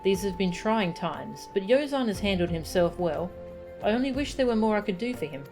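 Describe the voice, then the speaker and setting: A woman's voice: medium pitch, expressive, normal speed, over music, close to an everyday voice. A woman voicing an earnest, courteous warrior who guards children in a fantasy game, speaking formally. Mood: courteous, humble.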